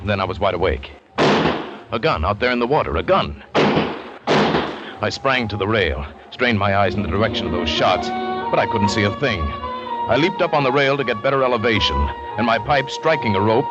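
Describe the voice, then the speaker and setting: A man's voice: fairly low pitch, expressive, moderate pace, natural voice. An actor playing a confident big game hunter who recounts his adventures, in the style of 1940s radio drama. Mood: hushed, measured, then urgent. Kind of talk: 1940s radio drama acting, first-person narration. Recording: dull old radio recording with loud music.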